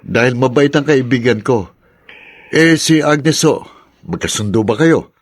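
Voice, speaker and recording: A man's voice: mid-range voice, lively intonation, a little slow, breathy edge. A man with a Filipino accent playing a respectful, inquisitive family friend in a radio drama. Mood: friendly, concerned. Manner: respectful, concerned. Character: friendly, inquisitive male best friend of the son.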